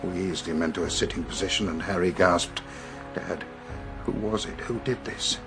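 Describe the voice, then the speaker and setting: An actor with a British accent playing a grave police superintendent, telling his story in a measured radio drama style. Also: low-pitched, music in the background. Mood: grave, intent. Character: grave male police superintendent recounting a strange murder. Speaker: actor in a radio detective play. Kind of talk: British radio drama acting, recounting events.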